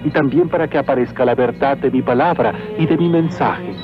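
A man voicing a humble, earnest, devout peasant in an animated film, speaking respectfully. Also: medium pitch, fairly quick, natural voice. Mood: reverent, earnest. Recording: dull old cartoon soundtrack with music.

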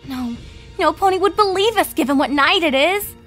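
Woman putting on a very high, earnest pony girl. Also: lively intonation, normal speed, soft background music. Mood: worried, hopeful. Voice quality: bright, high voice. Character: earnest, worried young pony girls in a fantasy story.

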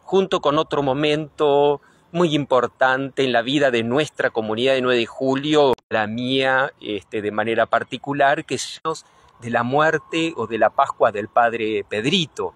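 Argentine guy in middle age, priest giving an interview, earnest, kinda halting, medium pitch. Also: a bit bright, dry recording. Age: middle-aged. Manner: halting, searching for words, earnest. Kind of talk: reflective interview answer. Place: outdoors in front of a church. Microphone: handheld reporter microphone.

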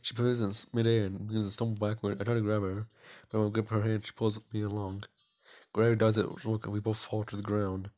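A man's voice: fairly low pitch, level tone, fast, darkish tone. A man hosting a let's play, reading aloud and commenting casually, often tripping over his words and poking fun at himself. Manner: stumbles over words while reading, self-mocking. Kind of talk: casual gaming commentary and read-aloud narration.

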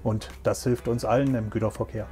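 A man's voice: mid-range voice, fairly even intonation, a little fast, loud music behind the voice. A middle-aged man, a train driver, speaking plainly in an interview from a locomotive cab, with a few hesitations.

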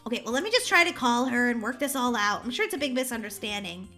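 Excitable, entitled young girl for game roleplay, played by a woman; high, animated, dramatic. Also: fast talker, faint music behind. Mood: dismissive. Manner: exaggerated, dramatic. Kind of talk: children's game roleplay acting.

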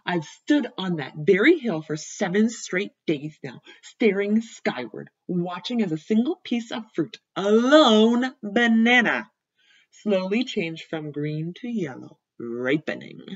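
A woman reading as a small, polite male tortoise who speaks formally and longs for a longer neck, with a slightly husky voice. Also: medium pitch, lively intonation, slow, highs cut off. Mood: earnest. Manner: formal, courteous, wistful.

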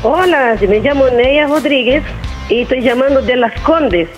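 A woman's voice: sits high, animated, unhurried, soft background music. A mature woman from Brazil with a Brazilian accent in Spanish, a native Portuguese speaker, calling a live show and talking emphatically. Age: middle-aged or older. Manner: emphatic, impassioned, repetitive. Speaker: phone-in caller. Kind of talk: opinionated live phone-in talk. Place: phone line into live TV show. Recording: phone call heard over broadcast.

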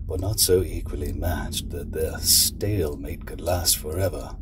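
A man playing a sinister villain in an audio drama, recounting dark history in a deliberate, theatrical way. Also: very deep voice. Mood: brooding, wry. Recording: clearly noisy background ambience.

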